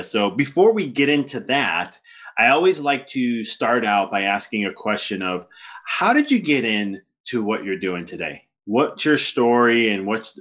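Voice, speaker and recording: A man's voice: on the low side, fairly bright tone. A man speaking as a podcast host, introducing the show and chatting with a guest in a conversational way. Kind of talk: podcast hosting, conversational interview.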